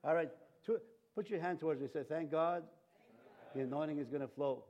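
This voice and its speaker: A man's voice: fairly high pitch, fairly quick, highs cut off. A man preaching as a pastor, whose first language was Cree and Ojibwe, talking to his church in a rambling way with many fillers and restarts. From Canada. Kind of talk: sermon.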